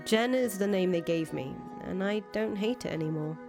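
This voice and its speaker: A woman's voice: medium pitch, lively intonation, normal speed, close to an everyday voice. Woman acting a jaded, foul-mouthed young woman. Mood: earnest, resolute. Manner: blunt, sardonic, exasperated swearing. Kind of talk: voiced reading of visual novel dialogue. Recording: music playing under the voice.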